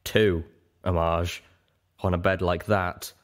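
A man's voice: low voice, level tone, takes it slowly. A man reading a story aloud as a scripted narrator. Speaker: audiobook reader and podcast host.

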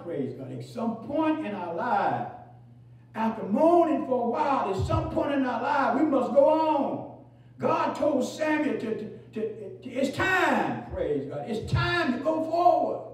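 Male preacher with a very high voice, call-and-response sermon, swings from shouts to murmurs, heavy echo, mic far off. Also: big pitch swings. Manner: fills pauses with "praise God" and "hallelujah"; repeats phrases, rising to shouts and dropping low. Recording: very echoey, from far off.

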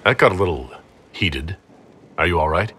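Calm low-voiced fantasy hero. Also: male voice, slightly rough, full character voice. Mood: gentle concern. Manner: measured, gentle, supportive. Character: calm, reassuring young-to-middle-aged male fantasy leader. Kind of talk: video game dialogue acting.

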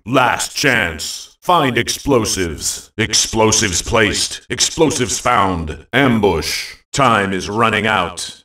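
An actor playing a bold, dramatic video game announcer, making theatrical, punchy calls. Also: male voice, on the low side, a bit bright, reverberant, distant mic, heavily acted. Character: booming male arcade-style game announcer, bold and dramatic.

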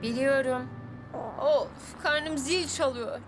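Very high-voiced young woman cartoon mechanic. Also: takes it slowly. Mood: busy, friendly. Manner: expressive, exclamatory. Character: young woman mechanic, caring, scolding, busy. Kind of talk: children's cartoon dubbing. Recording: music behind the voice.

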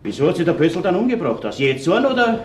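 A man's voice: high voice, big pitch swings, quick pace. A man acting a well-off middle-aged businessman in a radio crime drama, with a Viennese accent, colloquial and somewhat guarded. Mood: guarded, curious. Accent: Viennese Austrian. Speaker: actor.

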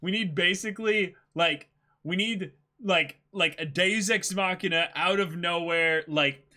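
A man's voice: high voice, measured pace, sharp tone. A young adult man co-hosting, chatting casually and reading aloud in an informal way. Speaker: co-host.

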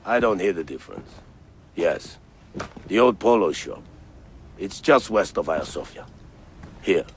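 An actor playing a proud, gruff Ottoman navigator who sells bombs, with a Turkish accent and a dry, deliberate manner in a video game. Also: low-pitched, expressive, slight background noise. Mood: dry, brusque. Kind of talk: cinematic video game acting.

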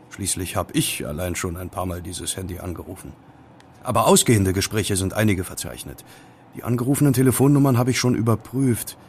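A man's voice: on the low side, slight background noise. An actor playing a curious, wary young male student sleuth in an audio drama, conversational and musing. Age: young adult. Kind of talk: German audio drama acting.

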